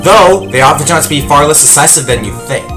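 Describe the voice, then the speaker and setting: A man's voice: a little high, expressive, quick pace, lightly acted. A man playing a skeptical, pompous, easily astonished older judge in a video game fandub, with music behind. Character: flustered, skeptical older male courtroom judge. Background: prominent music.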